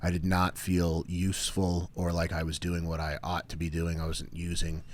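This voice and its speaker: A man in his thirties hosting a casual podcast chat, often restarting his sentences and trailing off quietly. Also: low voice, monotone, moderate pace. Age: 30s. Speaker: podcast host.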